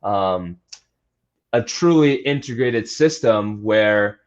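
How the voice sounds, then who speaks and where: A man's voice: on the low side, expressive, takes it slowly. Man chatting casually, slightly dull sound. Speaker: athletic trainer and strength coach being interviewed. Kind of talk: casual interview chat.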